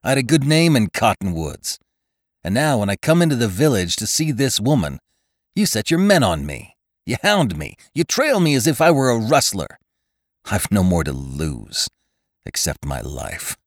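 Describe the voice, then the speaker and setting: A man's voice: on the low side, sing-song, moderate pace, no room sound, clean studio sound, pitched above the performer's own voice. A man voicing a proud, passionate young male cowboy rider who answers with taunting defiance. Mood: defiant.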